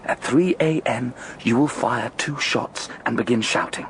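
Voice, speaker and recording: An actor playing a scheming, exultant gentleman plotting murder in a radio drama, with a theatrical, coaxing, intense delivery. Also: medium pitch, measured pace, a little hiss or hum, lightly acted. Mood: urgent, gleeful.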